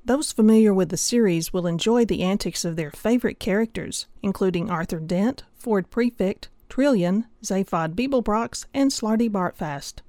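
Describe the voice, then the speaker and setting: A woman narrating a scripted audiobook review, measured and enthusiastic. Her voice sits in a middle range. The sound is dry and close. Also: medium pitch, dark tone, no echo.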